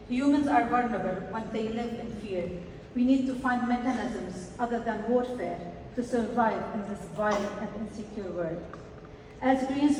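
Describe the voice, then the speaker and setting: A woman from Lebanon giving a prepared speech at a conference, earnest and measured, slowing down to stress key words. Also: a little high, mellow. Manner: earnest, measured, slows for emphasis. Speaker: party delegate giving a congress speech. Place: large conference hall. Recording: echoey hall, distant mic, faint noise.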